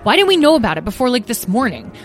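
A woman's voice: a little high, very animated, rapid-fire, soft background music, voice pushed up. Woman as a defiant young dragon brother. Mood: demanding. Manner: confrontational, demanding.

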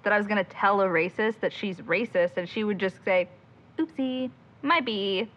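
A woman's voice: mid-range voice, moderate pace, highs cut off, natural voice. A woman playing a determined, outspoken young amateur investigator in an audio drama, speaking bluntly and emphatically. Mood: deflated.

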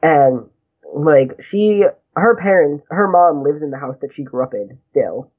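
A young man with a high voice, talking in a chatty, rambling way with lots of "like" and small laughs. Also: lively intonation, measured pace. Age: young adult. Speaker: guest, graduate student. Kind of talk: casual conversation. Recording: tinny phone-like husky sound.

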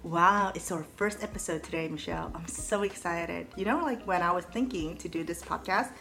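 A woman's voice: lowish voice, brisk, a bit bright, faint music behind. A young woman who grew up in Sweden, co-hosting a podcast in casual chat and laughing often. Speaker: podcast co-host. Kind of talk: casual chat, mixing English and Cantonese.

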